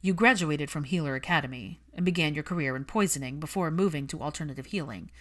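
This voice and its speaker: A woman's voice: sits low, fast. Woman voicing a cold posh man, dry drawl. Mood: cold, challenging. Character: cold, irritated, posh young man, disdainful. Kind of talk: audiobook character dialogue.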